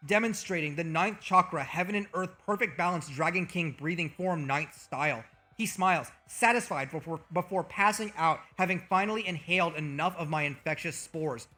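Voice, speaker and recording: A man streaming as a VTuber, joking and trash-talking with over-the-top, profane bragging, his voice a little hoarse. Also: high voice, sharp tone. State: slightly hoarse. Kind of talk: comedic livestream banter.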